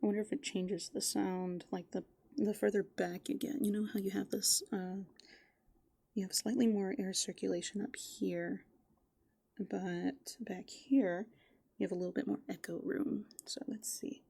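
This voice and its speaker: A woman doing improvised ASMR roleplay, softly and playfully musing aloud, slipping into breathy whispers close to the microphone. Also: medium pitch, steady tone, a little slow. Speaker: ASMR performer in a raccoon avatar persona.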